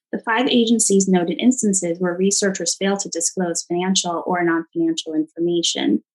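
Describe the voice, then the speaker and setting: A woman with a fairly low voice delivers formal testimony. She reads a prepared statement in a measured, official manner, as a government witness would. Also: rough recording.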